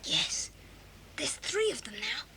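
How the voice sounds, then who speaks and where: A woman's voice: high voice, big pitch swings, slow, creaky, heavily acted. A woman playing a keen, daring young adventurer with an English accent in a children's audio drama. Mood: hushed, resolute. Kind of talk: children's radio drama acting. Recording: hissy old cassette tape.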